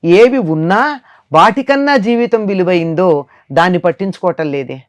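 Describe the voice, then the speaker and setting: A woman's voice: lowish voice, expressive, moderate pace. An older woman giving a moral discourse in conversation as a spiritual teacher, emphatic and repeating phrases to drive points home.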